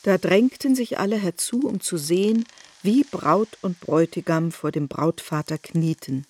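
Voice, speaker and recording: Mellow, husky female narrator. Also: lowish voice, normal speed. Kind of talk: scripted literary narration.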